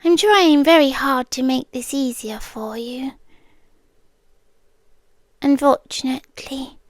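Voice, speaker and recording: A British woman voicing an obsessive romantic kidnapper for ASMR roleplay, speaking softly and often whispering into a close microphone. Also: sits high, dry recording. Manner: soft-spoken, often slipping into whispers.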